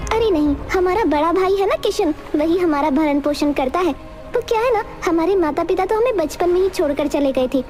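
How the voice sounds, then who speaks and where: A woman voicing an eager, chatty young village girl in an animated story. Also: very high voice, a little fast, faint music behind. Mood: eager, reassuring. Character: eager helpful village girl, a farmer's little sister. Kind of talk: Hindi animated fairy tale acting.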